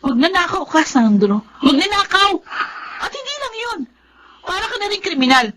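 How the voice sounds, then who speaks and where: Angry filipina daughter in a radio soap, high bright voice, really emotional. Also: female voice, very animated, normal speed, heavily acted. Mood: accusing. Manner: confrontational, emotional, accusing. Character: defiant, aggrieved grown daughter defending her dead father. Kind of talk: melodramatic radio drama acting.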